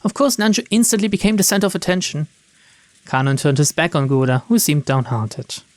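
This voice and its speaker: A man's voice: fairly high pitch, brisk, dry recording. A man reading game narration aloud and adding casual, wry commentary, informal in manner. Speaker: video game streamer, narrator.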